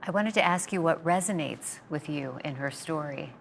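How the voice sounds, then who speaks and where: A woman moderating a post-show talkback on a video call, asking questions in a gentle, appreciative way. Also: sits low, moderate pace, gravelly, slight background noise. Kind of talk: interview questions.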